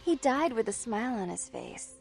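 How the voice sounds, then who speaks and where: An actress playing a wild, seductive, spiteful vampire sister in a video game, taunting in a theatrical sing-song way. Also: female voice, on the high side, lively intonation, normal speed, gravelly, breathy edge, faint music behind, exaggerated voice. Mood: bitter, goading. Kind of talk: dramatic video game voice acting.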